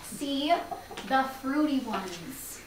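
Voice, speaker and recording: Echoey, high, bubbly actress as a teasing young woman. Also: lively intonation, drawn-out words, heavily acted. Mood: teasing, reassuring. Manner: playful, emphatic, coaxing. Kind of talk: staged play reading. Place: live staged reading in a room. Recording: very echoey, distant mic, faint noise.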